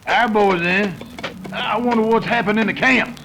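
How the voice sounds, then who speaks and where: High, gravelly Western outlaw boss played by a man. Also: moderate pace, noisy background. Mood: uneasy. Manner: folksy, ungrammatical cowboy talk. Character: bossy Western cattle-rustling outlaw gang leader. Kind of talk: old-time radio western acting.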